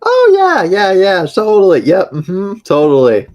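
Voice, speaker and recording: Man doing a merry, high-lilting elf. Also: wildly swooping pitch, a little slow, a crowd in the background, heavily acted. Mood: merry, eager. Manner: chatty, repeats words. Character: merry, easygoing green-skinned spring elf traveler, thirsty. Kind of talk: tabletop roleplay character acting.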